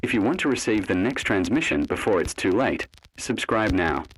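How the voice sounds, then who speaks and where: A man narrating a scripted analog horror story in an ominous, solemn, deliberate way with dramatic pauses. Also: lowish voice, animated, a little hiss or hum, rough recording.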